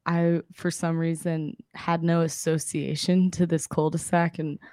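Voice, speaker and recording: A young woman co-hosting a podcast, chatting casually and acting out the people in her story, with a slightly raspy voice. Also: low-pitched, a little slow, dry recording, studio quality. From Southern California. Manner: chatty, animated retelling with quoted voices and laughs. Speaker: podcast co-host.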